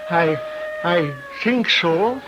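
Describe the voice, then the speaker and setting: An actor playing a frail, shaken old shopkeeper in a radio drama. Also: male voice, sits high, lively intonation, slow, dull audio, background noise. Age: older.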